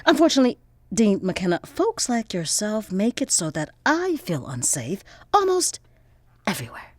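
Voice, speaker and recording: Proud, expressive young activist scholar. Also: female voice, mid-range voice, moderate pace, no room sound. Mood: indignant, defiant. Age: young adult. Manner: articulate, formal diction. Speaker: voice actor in audio drama. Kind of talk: audio drama acting.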